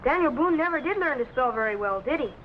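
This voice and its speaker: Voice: high-pitched, animated, fast talker. A young performer playing a keen, respectful boy assistant in a 1950s radio sci-fi show, earnest and obedient. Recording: dull lo-fi old radio, faint noise.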